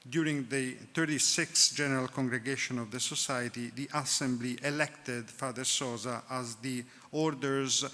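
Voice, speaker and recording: Host intro, lots of uhs, bright voice, hall reverb. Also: male voice, medium pitch. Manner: halting, many ums and uhs. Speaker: event host introducing the speaker. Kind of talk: formal introduction with fillers.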